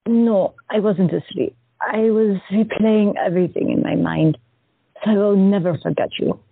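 Actress playing a shy, wistful young woman artist, husky, dry and tinny. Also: medium pitch, moderate pace, dry recording. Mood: tender, wistful. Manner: gentle, hesitant. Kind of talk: audio drama acting. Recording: dry, tinny phone-like sound.

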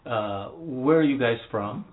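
Husky-voiced man hosting a lo-fi interview. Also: medium pitch, expressive, unhurried. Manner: chatty, laughs along with guests. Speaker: podcast host. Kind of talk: casual interview. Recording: tinny phone-like lo-fi sound.

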